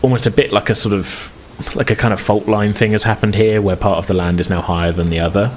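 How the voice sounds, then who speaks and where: A man's voice: lowish voice, steady tone, fast talker, low-quality recording. A man running a tabletop game as game master, improvising descriptions and often groping for words. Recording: clear background noise.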